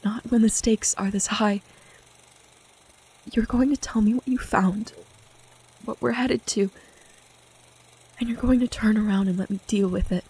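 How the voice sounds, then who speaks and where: A woman playing a frightened, protective young seer with a breathy, often whispering voice in an audio drama. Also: medium pitch, fairly even intonation, a little slow, pauses often, mellow, rough recording. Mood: tender, resolute. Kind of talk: intense audio drama dialogue.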